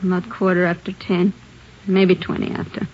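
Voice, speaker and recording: A woman's voice: on the low side, completely flat delivery, moderate pace, a little hiss or hum, lightly acted. An American actress playing a brash, defensive married woman accused of murder in a 1950s-style radio crime drama, slangy and defiant. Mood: sullen, weary. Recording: dull lo-fi old-time radio recording.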